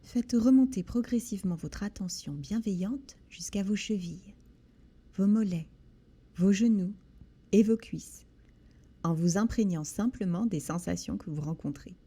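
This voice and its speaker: A woman leads a guided body scan meditation in a soothing, gentle manner, with deliberate pauses between short phrases. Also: mid-range voice. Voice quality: soft, breathy. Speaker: guided relaxation instructor.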